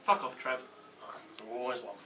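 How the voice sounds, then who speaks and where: A man's voice: mid-range voice, little pitch movement, normal speed, natural voice. A man voicing a brash, friendly, crude woman performer with a sassy, chummy manner in a comedy table read. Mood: protective. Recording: distant room mic with faint noise.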